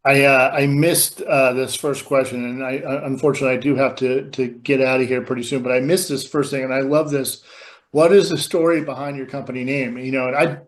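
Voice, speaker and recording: Eager man with halting speech. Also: medium pitch, fairly even intonation. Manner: enthusiastic, halting, many fillers and restarts. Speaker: webinar co-host, sales director. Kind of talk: casual webinar chat.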